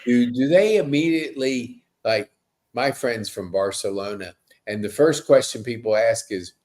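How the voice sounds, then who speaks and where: A man's voice: mid-range voice, moderate pace, a little mellow. A middle-aged man hosting a casual podcast interview, effusive and rambling, with stop-and-start questions. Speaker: podcast host.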